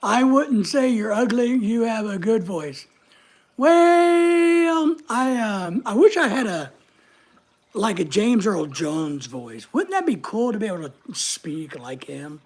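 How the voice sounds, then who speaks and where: A middle-aged man with a voice high enough that strangers take it for a woman's. He chats loosely with viewers, joking at his own expense. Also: very animated, slow pace. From United States. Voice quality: high voice often mistaken for a woman's. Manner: chatty, joking, self-mocking. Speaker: channel host reading live chat. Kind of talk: casual livestream chat.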